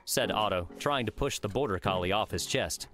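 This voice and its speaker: A man's voice: fairly low pitch, moderate pace, a bit bright. A man reading a work of fiction aloud as scripted audiobook narration.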